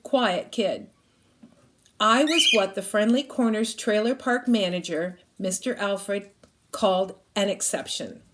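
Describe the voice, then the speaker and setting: A woman's voice: medium pitch, noisy background. A woman reading a children's novel aloud expressively, like a teacher reading to a class.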